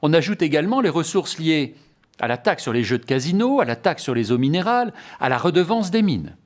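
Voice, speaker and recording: A man's voice: fairly high pitch, sing-song, brisk. A man presenting a formal, scripted explanatory lecture in a didactic, carefully structured way.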